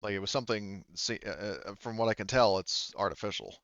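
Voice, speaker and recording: A man with a lowish, smooth voice improvises as a tabletop game master. He explains in a halting, stop-and-start way. The sound is close and dry, slightly dulled by a lo-fi recording. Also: a bit bright, no room sound. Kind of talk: improvised tabletop roleplay narration.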